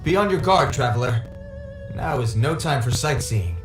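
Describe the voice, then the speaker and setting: A man's voice: medium pitch, very animated, normal speed, reverberant, music in the background, natural voice. A man voicing an earnest, dutiful city guard in a video game, speaking in a formal, stiff manner. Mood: stern warning.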